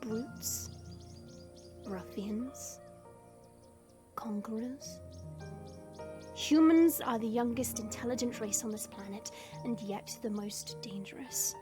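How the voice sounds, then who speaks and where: A woman's voice: a little high, takes it slowly, long pauses, music in the background. A woman playing a proud, haughty dragon princess who speaks formally in an audio roleplay, as if lecturing lesser beings. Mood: grave, scornful.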